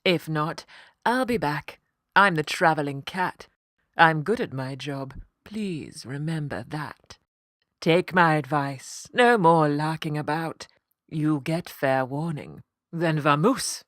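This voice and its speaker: Woman as a grand, measured storybook lady cat. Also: low voice, a bit bright, no room sound, clean studio sound. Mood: firm warning. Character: canny, stern yet kind female cat professional. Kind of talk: children's storybook character voice.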